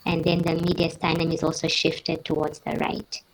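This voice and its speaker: Low-pitched woman presenting, noisy audio. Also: flat delivery, moderate pace, gravelly. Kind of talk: medical teaching presentation.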